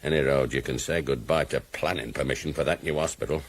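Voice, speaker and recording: A man playing a menacing, corrupt town boss in a radio crime drama, gravelly and husky. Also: a bass voice, fast. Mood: angry, scornful. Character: menacing, corrupt, bullying middle-aged male town boss.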